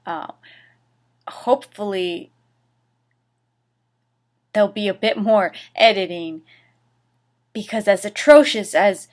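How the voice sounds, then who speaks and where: A woman reading fan fiction dramatically as host, with a sarcastic, theatrical manner and mocking asides. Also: mid-range voice. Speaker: host and reader of a series.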